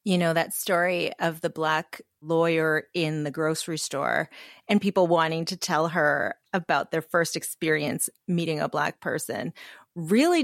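A woman in her forties hosts a conversational interview and slips easily into animated storytelling. Her voice is recorded close and dry, with no room sound. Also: fairly low pitch, no room sound. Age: 40s. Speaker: podcast host.

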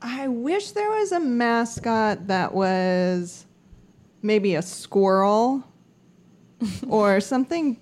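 A woman in a casual chat, speaking in a thoughtful, soft-spoken way. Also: a little high, animated, drawn-out words.

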